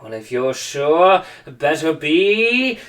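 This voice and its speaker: Man doing a theatrical old talking hat, high, slow and lively, a bit off-mic. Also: recorded from a distance, exaggerated voice. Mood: musing. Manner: drawn-out, booming calls, then musing and sly. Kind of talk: home read-aloud of a storybook.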